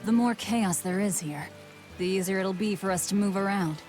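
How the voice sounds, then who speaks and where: A woman's voice: mid-range voice, moderate pace, a little mellow, faint music behind, natural voice. A woman playing a determined, cool-headed heroine in a fantasy video game, terse and no-nonsense. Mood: cold, determined.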